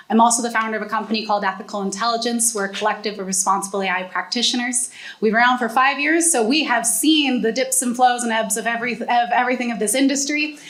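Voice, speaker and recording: A woman's voice: fairly high pitch, fairly bright tone. Confident young woman on a live panel. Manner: confident, projecting, articulate. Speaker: guest, AI ethicist and author. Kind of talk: panel conversation. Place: hotel event room with audience. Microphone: handheld mic.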